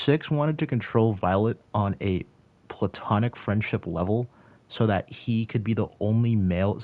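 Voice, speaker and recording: A man's voice: on the low side, dry recording. A man of about twenty chatting casually on a livestream and answering his chat, rambling with lots of "like" and restarts. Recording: tinny phone-like audio.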